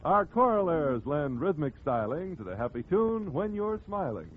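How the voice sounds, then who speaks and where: High-pitched 1940s radio announcer man. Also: big pitch swings, moderate pace, noisy background. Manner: showy, polished introductions. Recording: very narrow tinny 1940s radio recording, music behind.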